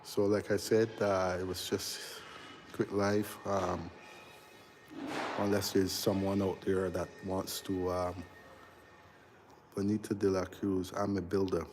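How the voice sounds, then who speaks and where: A man in his forties with a low, level voice that barely rises or falls. He chats haltingly with viewers on a phone stream while touring an unfinished house. A faint hum hangs in the background. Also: level tone, a little mellow. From Barbados. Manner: halting, chatty, reads out and answers viewers' comments. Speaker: electrical contractor. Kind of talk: casual live-stream walkthrough. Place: large unfinished house under construction, indoors.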